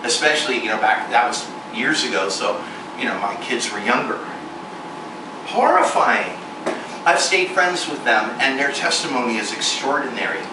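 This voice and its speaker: A man in his forties giving a candid, self-mocking personal testimony sermon, with sudden jumps in pitch for emphasis. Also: lively intonation, normal speed, recorded from a distance. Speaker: ministry performer speaking to a congregation. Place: large echoey venue room with air conditioning hum. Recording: echoey room recorded from afar with loud air-conditioner hiss.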